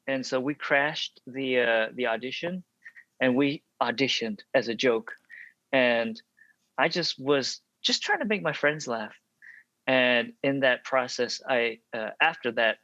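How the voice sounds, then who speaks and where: A young man from Texas talks through his early life in a casual interview, reflective and full of small hesitations. His voice sits at a middle pitch. The recording is dry and slightly dull. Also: fairly bright tone, dry recording. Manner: reflective, many ums and restarts. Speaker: actor, podcast guest.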